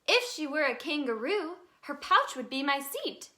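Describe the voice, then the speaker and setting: Bright, high-voiced woman reading children's stories. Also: normal speed. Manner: expressive, sing-song delivery for young children. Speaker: children's storybook reader, in a tooth fairy persona. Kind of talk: read-aloud children's story narration.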